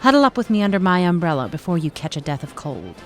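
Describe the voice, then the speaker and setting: An actress playing a cheerful young fiancée in a 1930s-set audio drama, playful, reassuring and affectionately chiding. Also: female voice, fairly low pitch, very animated, fast talker. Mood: teasing. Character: cheerful, teasing young bride-to-be in 1937. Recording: music under the voice.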